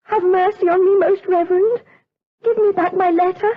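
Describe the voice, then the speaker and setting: A desperate, very high-pitched young nun. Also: female voice, fairly even intonation, moderate pace. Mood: desperate, pleading. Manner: intense, theatrical, imploring. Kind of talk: gothic radio drama acting. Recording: dull, lo-fi old radio drama.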